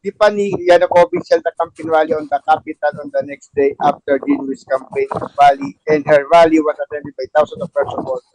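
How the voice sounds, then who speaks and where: Male narrator, fairly high voice, scripted stage directions, dry close recording, table read. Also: steady tone, fast, hardly any pauses, a little mellow, no room sound, low-quality recording.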